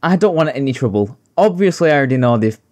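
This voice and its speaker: A man's voice: mid-range voice, lively intonation, quick pace, noisy background. A young man giving scripted video commentary with joking asides, sarcastic, sweary and mock-exasperated. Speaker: video essay host. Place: indoors, voice-over. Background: background music.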